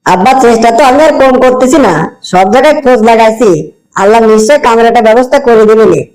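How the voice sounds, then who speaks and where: Cartoon son, male actor: young, pious, hopeful, notably high pitch, earnest rural Bangladeshi accent. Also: fast talker, darkish tone, rough recording. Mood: gentle. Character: devout, kind-hearted, hopeful young village son. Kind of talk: amateur cartoon voice acting.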